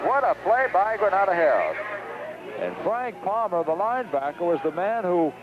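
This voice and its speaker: High-pitched American football announcer, male, noisy recording. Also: very animated, moderate pace. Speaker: play-by-play sports announcer. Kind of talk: live American football radio commentary. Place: outdoors at a stadium. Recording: dull, noisy 1970s radio recording.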